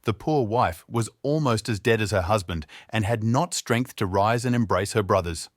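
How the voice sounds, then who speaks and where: A man's voice: fairly low pitch, moderate pace, no echo, studio quality. A man reading a scripted fairy tale as a storyteller.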